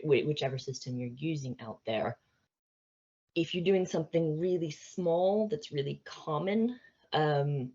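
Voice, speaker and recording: Husky American woman giving a talk. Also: rumbling low, a little mellow, muffled recording. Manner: conversational, wryly humorous. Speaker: conference speaker on AI. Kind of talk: informal presentation to business audience.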